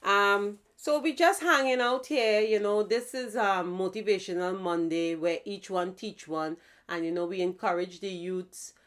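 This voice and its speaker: A woman hosting a casual interview, speaking in an affirming, unhurried way with many fillers. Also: medium pitch, a bit bright. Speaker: host.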